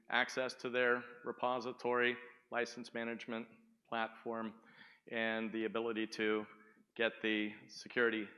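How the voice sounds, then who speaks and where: A man giving a sales presentation at a tech conference, booming and often asking the audience questions. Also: bright, forceful tone, smooth. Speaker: conference speaker. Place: conference room. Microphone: speaking without the microphone at first.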